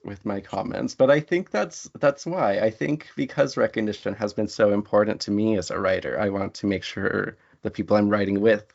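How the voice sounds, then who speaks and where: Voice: low-pitched, moderate pace, creaky, hardly any pauses, darkish tone. A writer, speaking in an articulate, reflective way as a guest in an interview conversation. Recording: dull lo-fi audio.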